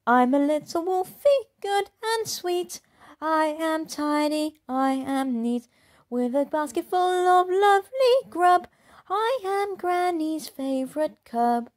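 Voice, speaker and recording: A woman putting on a polite little male wolf cub from a storybook, singing slow little tunes. His voice is very high and lilting, soft and cheerful. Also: expressive. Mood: sing-song. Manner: sing-song, high and slow.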